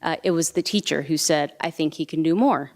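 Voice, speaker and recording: A woman's voice: deep voice, brisk. A woman on a school board sharing a personal example as a parent, with frequent hesitant fillers. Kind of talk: board meeting discussion. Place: board meeting room.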